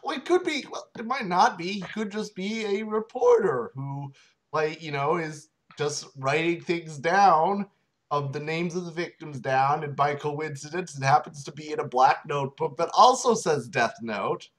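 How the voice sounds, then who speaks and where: A man's voice: a little high, moderate pace, a bit bright. A man co-hosting a comedy reading, chiming in with hesitant, rambling interruptions. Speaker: co-host. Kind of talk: comedic banter.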